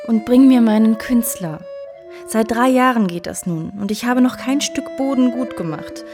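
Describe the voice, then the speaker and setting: An actress playing a vain, coquettish and commanding society seductress in a radio drama. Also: female voice, mid-range voice, close to an everyday voice. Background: instrumental music.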